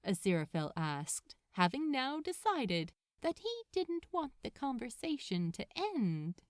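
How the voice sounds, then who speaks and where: A woman reading fiction aloud as a narrator, in an expressive storytelling style. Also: on the high side, unhurried, no room sound, studio quality. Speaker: podfic narrator.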